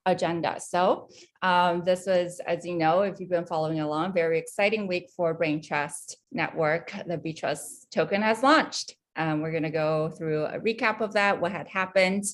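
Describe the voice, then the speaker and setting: A woman's voice: fairly low pitch, normal speed. A woman hosting an online company update, introducing the agenda and speakers with frequent ums. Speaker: head of community, moderator.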